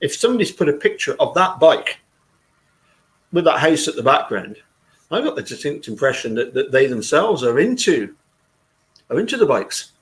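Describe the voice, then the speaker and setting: A man's voice: a little high, big pitch swings, normal speed, pauses often. A man hosting a live chat, rambling casually with many repeated words and frequent chuckles. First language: English.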